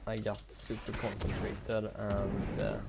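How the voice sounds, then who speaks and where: A teenage man with a Swedish accent, a native Swedish speaker, chatting casually while playing a game, rambling with many fillers and pauses. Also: fairly low pitch, monotone, gravelly. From Sweden. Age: teens. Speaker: gaming YouTuber. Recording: loud noise behind the voice.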